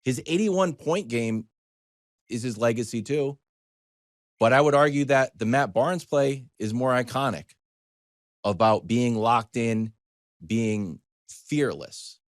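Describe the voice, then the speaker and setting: An American man giving conversational sports talk as a commentator, animated, digressive and self-deprecating as he tells stories. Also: medium pitch, slow pace, long pauses, no room sound, studio quality.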